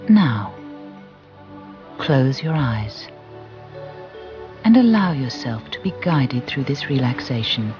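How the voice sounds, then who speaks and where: A soothing, gentle voice guiding a relaxation and visualisation exercise, deliberate and with long pauses. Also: medium pitch, animated. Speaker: guided relaxation instructor. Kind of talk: guided visualisation narration. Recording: muffled recording with music behind. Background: background music.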